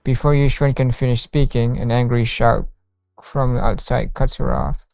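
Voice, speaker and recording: Man, game streamer, reading dialogue aloud, gravelly creak, soft music behind. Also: mid-range voice, steady tone, moderate pace, dry recording. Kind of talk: live game playthrough, reading dialogue and reacting.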